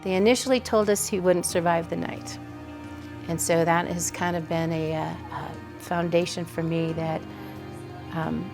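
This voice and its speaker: A woman's voice: fairly low pitch, gravelly, a little mellow. A woman in her fifties with an American accent, speaking reflectively as an interviewee. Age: 50s. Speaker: interviewee, broadcaster's wife. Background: background music.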